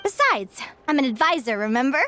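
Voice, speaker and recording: A high-pitched, earnest young advisor woman. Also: sing-song, fairly quick, pushed and bright, a little hiss or hum, full character voice. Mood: thoughtful, hesitant. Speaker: advisor character in a fantasy game. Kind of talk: video game voice acting.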